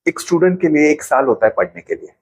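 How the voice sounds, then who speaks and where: Male guest, husky tone, calm teacherly explainer. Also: a little high, fast talker. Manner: patient, explains with everyday examples. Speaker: financial planner guest. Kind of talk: explanatory interview talk.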